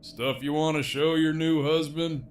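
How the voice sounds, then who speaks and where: An actor playing a cocky, sardonic imprisoned villain in an audio drama, with a gravelly, drawling delivery and a taste for profanity. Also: male voice, a little high, steady tone, unhurried, lightly acted. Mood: teasing. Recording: faint background noise.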